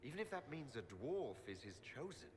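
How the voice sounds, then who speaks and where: A man's voice: medium pitch, big pitch swings, moderate pace, close to an everyday voice. An actor playing an earnest, doubtful and defensive male dwarf hero in a video game. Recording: noisy game audio.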